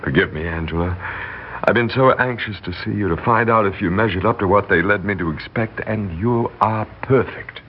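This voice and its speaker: An actor playing a controlling, smooth-talking butler with imperious, deliberate speech in 1960s radio drama style. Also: male voice, lowish voice, natural voice. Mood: courteous, insinuating. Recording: dull, noisy 1960s radio recording.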